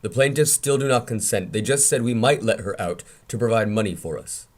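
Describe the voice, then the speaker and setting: A man playing a gruff, frustrated middle-aged uncle who drives a bus, in a screenplay table read. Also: on the low side, fairly quick, lightly acted. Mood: firm.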